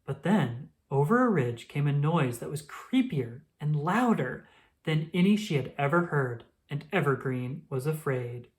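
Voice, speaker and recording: A woman reading a children's story aloud as scripted narration, in an expressive storytelling style. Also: deep voice, measured pace.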